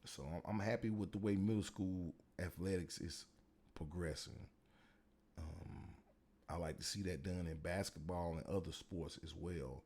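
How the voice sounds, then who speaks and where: A man with a deep voice hosting a casual solo podcast as a middle school football coach, talking in a relaxed, rambling way with many fillers. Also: a little slow.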